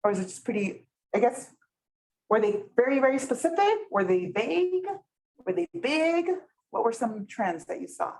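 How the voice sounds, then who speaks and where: A woman whose first language is Spanish runs a community workshop with a fairly high voice. Her pitch jumps around a lot as she talks. She chats with the group and keeps asking whether they follow. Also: very animated, moderate pace, vocal fry, darkish tone. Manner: chatty, often asks "right?" to check in. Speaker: workshop facilitator. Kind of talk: interactive workshop teaching. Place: meeting room, with some people online.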